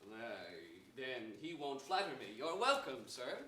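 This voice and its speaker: A young man playing a gentle, melancholy captive king, declaiming earnest Shakespearean verse in a staged reading. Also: medium pitch, sing-song, a little slow. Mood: courteous, resigned. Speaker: stage actor. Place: large indoor theater stage before an audience. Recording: echoey theater, distant microphone, some noise.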